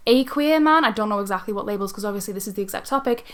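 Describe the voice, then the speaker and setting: A woman's voice: medium pitch, lively intonation, rapid-fire, fairly bright tone. Casual woman chatting as podcast co-host. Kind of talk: casual group chat.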